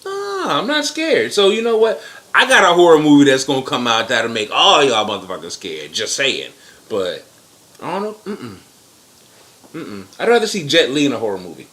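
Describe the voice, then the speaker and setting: A man giving blunt, profane opinions in casual chat as co-host, with sudden jumps in pitch. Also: very animated, normal speed, a bit bright.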